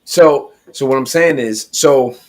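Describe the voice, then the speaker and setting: A man hosting a casual panel talk, putting his thoughts and questions forward in a halting way. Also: medium pitch. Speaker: podcast host.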